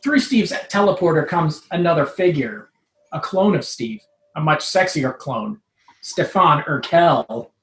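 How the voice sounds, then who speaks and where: A woman's voice: low voice, expressive, a little slow. A woman reading a scripted comic story aloud as narrator. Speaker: troupe member reading own comic story. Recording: roomy, echoing live room.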